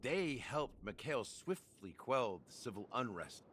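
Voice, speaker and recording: A man's voice: medium pitch, sing-song, measured pace, a bit bright, a little hiss or hum. An actor playing a world-weary, grave man recounting a regretful past in a video game.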